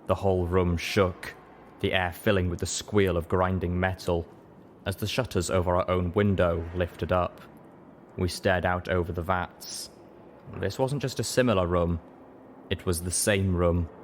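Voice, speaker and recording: Man, deep voice, audiobook narration, steady earnest reading, faint hiss. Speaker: audiobook reader. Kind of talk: dramatic fan-fiction audiobook narration.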